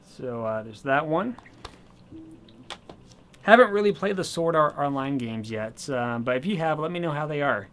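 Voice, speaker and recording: A man casually showing off his new video games and accessories, chatty and rambling, slightly hoarse as he says he is losing his voice. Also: fairly high pitch, expressive, moderate pace, long pauses, a bit bright, a little hiss or hum. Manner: chatty and rambling, with many fillers. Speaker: gaming channel host. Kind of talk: casual video game haul vlog.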